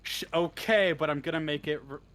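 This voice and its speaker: A man's voice: on the high side, brisk, a loud crowd. A man chatting casually as an interview guest, blunt and informal, swearing freely. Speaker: interview guest, script writer. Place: live online voice stream.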